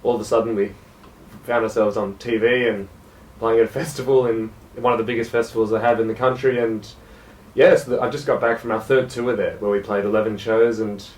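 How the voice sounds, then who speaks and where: Laid-back Australian jazz musician, low voice. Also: male voice, a little fast, echoey room, far from the mic, low-quality recording. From South Gippsland, Australia. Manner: relaxed, self-deprecating, hesitant with fillers. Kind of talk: casual radio interview.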